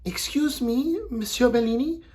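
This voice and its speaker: A man's voice: very high voice, a little slow, a little mellow, pitched above the performer's own voice, low-quality recording. A man voicing an eager, pleading young girl in a storybook read-aloud.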